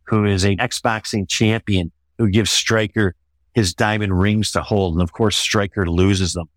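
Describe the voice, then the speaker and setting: A man's voice: fairly low pitch, no room sound. A man talking as a guest author in an interview, discussing his own work conversationally.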